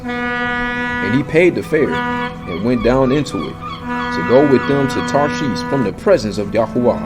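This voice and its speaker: A man narrates scripture as a scripted drama. His voice sits at a middle pitch and moves with lively inflection, with music clearly behind it. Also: low-quality recording.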